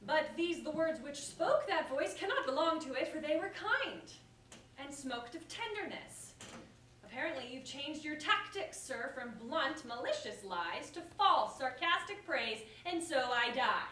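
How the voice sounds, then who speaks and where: A woman's voice: sits high, animated, unhurried, bright, forceful tone, full character voice. A woman playing a witty, proud, wounded young bride in a staged verse comedy, declaiming with sardonic bite. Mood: indignant, sarcastic. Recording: roomy, distant stage recording with faint noise.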